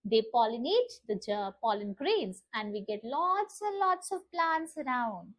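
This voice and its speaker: A woman telling stories to young children in an expressive, teacherly way, putting questions to her listeners. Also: on the high side, unhurried. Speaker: children's storyteller and channel host. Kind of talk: animated storytelling for young children.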